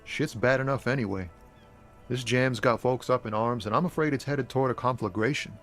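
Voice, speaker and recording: An actor voicing a laid-back young street poet and courier, talking in an easygoing, slangy way. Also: male voice, medium pitch, brisk, fairly bright tone, soft background music, lightly acted. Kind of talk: scripted game dialogue.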